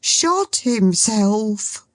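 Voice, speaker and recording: A woman's voice: big pitch swings, extremely slow, dry recording. A woman reader voicing an agitated, fussy male secretary in a storybook reading. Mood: grave. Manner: high, breathless, fretful.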